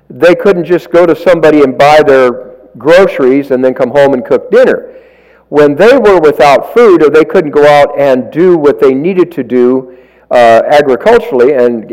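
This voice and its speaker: A man preaching in church, telling folksy stories with self-deprecating asides and a loud, projecting voice on a stage microphone. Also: on the high side, rough recording. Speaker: lay preacher. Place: church sanctuary. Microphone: stage microphone, walks around.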